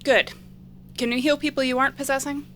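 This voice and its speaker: An actress playing a determined, guarded young repairperson in an audio drama, speaking in a terse, dry way. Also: female voice, high voice, flat delivery, fairly quick, a bit bright, slight background noise.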